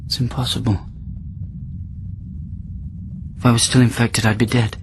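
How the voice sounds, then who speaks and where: An actor playing a desperate confined patient from the past in a radio drama, speaking in an emotional, halting, plaintive way. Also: male voice, medium pitch, level tone, creaky, noisy background, close to an everyday voice. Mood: pleading. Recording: radio drama with music underneath.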